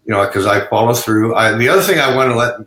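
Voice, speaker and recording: Male webinar guest, earnest and reassuring, tense bright tone, roomy echoey mic. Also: medium pitch, lively intonation, fast. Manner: earnest, reassuring, many "you know" and restarts. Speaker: guest, online seller resource owner. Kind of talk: casual webinar talk. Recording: echoey room sound.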